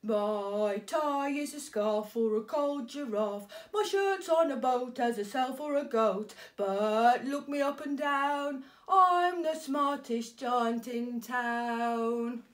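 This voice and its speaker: A woman voicing a scruffy, kind-hearted male giant, speaking slowly and emphatically. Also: high-pitched, very animated, pitched above the performer's own voice, full character voice. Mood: sing-song. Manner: slow, loud and emphatic. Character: scruffy kindly male giant, cheerful and generous.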